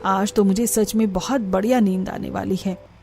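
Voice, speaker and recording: A woman's voice: medium pitch, steady tone, moderate pace, gravelly, darkish tone, soft background music, lightly acted. A woman voicing a scheming, thrifty middle-aged mother-in-law in a cartoon story, coaxing and breezily dismissive. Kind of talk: cartoon story character dialogue.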